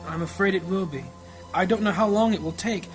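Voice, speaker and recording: A man acting a gentle, troubled priest and family man in a fantasy audio drama, earnest, measured and devout. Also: high-pitched, lightly acted. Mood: troubled, secretive. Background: music.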